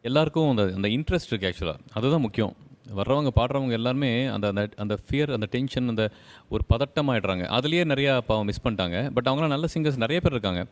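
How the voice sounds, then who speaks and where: A man's voice: medium pitch, expressive, fairly quick. A man speaking off the cuff as a singing contest judge, repeating phrases and mixing in English. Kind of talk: unscripted judging and panel chat.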